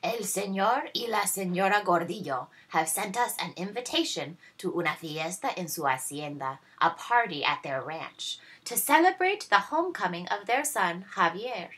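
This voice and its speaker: A woman's voice: medium pitch, lively intonation, normal speed, few pauses, a bit bright, pitched above the performer's own voice, lightly acted. A woman voicing a cold, haughty stepmother in a children's storybook, speaking in an icy, commanding manner. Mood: smug. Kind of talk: read-aloud children's storybook.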